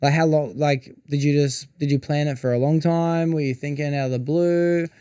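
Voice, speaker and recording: A man's voice: a little high, fairly even intonation, normal speed, mellow, clean tone, no echo, studio quality. A man, hosting a casual podcast interview in a chatty, laid-back way. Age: 20s. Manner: chatty, laid-back, says "yous" and "bro". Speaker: podcast host.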